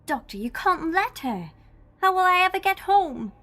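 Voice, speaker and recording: Woman playing high-voiced, feisty young companion. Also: very animated, moderate pace, bright, forceful tone, faint music behind, full character voice. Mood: pleading. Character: feisty, homesick young female travelling companion. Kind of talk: audio drama acting.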